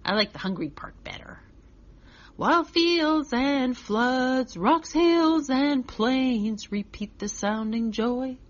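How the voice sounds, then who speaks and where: A woman's voice: sits high, expressive, takes it slowly. Through slightly muffled audio comes a woman playing a restless little boy of six in a children's story. He chatters earnestly and expressively, in a childlike first-person telling. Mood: earnest, chatty.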